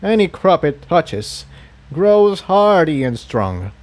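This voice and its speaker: A man voicing a kindly old unicorn priest who explains things in an earnest, measured and solemn way for a storybook reading. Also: a little high, sing-song, a little mellow, pitched above the performer's own voice. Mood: solemn, explanatory.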